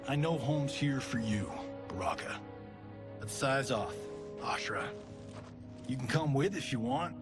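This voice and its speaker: Man playing a cocky, animated wisecracker. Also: mid-range voice, a little slow, long pauses, natural voice. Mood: breezy. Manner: flippant, casual. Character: cocky, breezy, wisecracking rich American man. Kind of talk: video game cutscene acting. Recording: music behind the voice.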